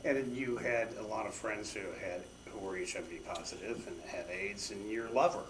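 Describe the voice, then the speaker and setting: A fairly low-voiced man asks questions and prompts his guest with details from his life. He sounds set back from the microphone, with a faint ambient hum. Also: moderate pace, recorded from a distance. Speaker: interviewer. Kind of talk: conversational interview questions.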